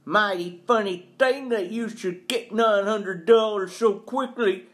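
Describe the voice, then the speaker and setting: A man's voice: high-pitched, slow pace, voice pushed up. A man voicing a blustering, accusing male employer in a storybook, with a harsh, sneering delivery. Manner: sneering, forceful, badgering.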